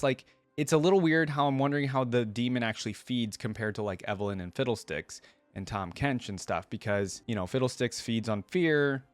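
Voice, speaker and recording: A man hosting a reaction video, reading and commenting casually, often pausing to speculate aloud. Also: fairly low pitch, clear, resonant tone, no room sound.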